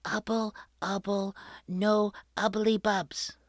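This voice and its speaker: A woman voicing an excited young boy who shows off his inventions, calling out like a showman. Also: takes it slowly, dry recording, clean studio sound, full character voice. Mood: whispering. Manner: shouty and showman-like, booming announcements.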